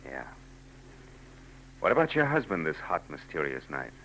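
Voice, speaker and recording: A man's voice: a bass voice, sing-song, moderate pace, a bit bright, heavily acted, poor audio. An actor playing a wry, weary male private eye in a 1940s radio drama, dry and sardonic. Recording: old radio broadcast with hum.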